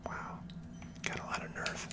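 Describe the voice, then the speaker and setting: A person whispering gentle, unhurried, conversational ASMR commentary while playing a video game, close to the microphone. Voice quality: soft breathy whisper with creaky edges.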